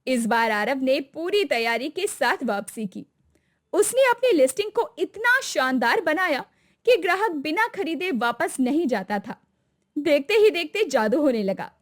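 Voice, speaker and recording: High-pitched animated woman storyteller. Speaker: narrator. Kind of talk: scripted dramatic story narration.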